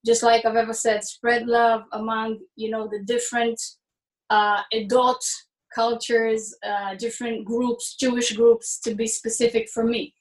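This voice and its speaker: A young woman from Israel, a musician, answering interview questions in a thoughtful, halting way, often restarting her phrases. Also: on the high side, little pitch movement, low-quality recording. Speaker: musician guest.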